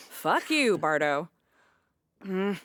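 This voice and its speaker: Here is a blunt, wry aunt in a comedy audio drama. Her pitch moves around freely and her humour is dry. The recording has very little room sound. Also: very animated, very slow, a bit bright, dry recording, full character voice. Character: wry, blunt aunt working at a radio station.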